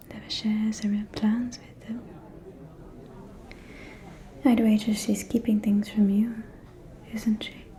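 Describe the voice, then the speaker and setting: A woman playing a scheming, falsely caring aristocratic vampire in a whispered ASMR roleplay, with a soft, breathy, silky and insinuating delivery. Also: mid-range voice, natural voice. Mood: conspiratorial. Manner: slow, silky, insinuating, condescending.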